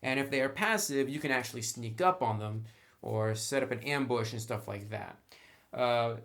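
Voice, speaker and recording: Male game reviewer, medium pitch. Conversational, instructional read, talking directly to the viewer. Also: moderate pace, creaky, a bit bright. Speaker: tabletop game reviewer and presenter. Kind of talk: casual explanatory review.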